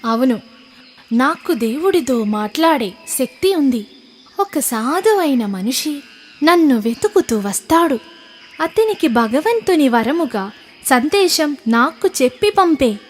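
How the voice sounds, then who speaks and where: A woman acting as a sly cartoon leopard, high-pitched, unhurried, grandly pious, persuasive, music behind. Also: very animated, slight background noise, heavily acted. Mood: sly, solemn. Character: cunning trapped leopard posing as God's messenger. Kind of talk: children's animated moral story.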